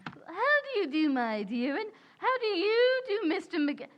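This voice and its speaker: A woman's voice: extremely high pitch, very animated, unhurried, highs cut off, exaggerated voice. A woman playing a grand, gushing, self-satisfied society matron in an affected, theatrical old-time radio comedy style. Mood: gushing, haughty. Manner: affected, hoity-toity, theatrical.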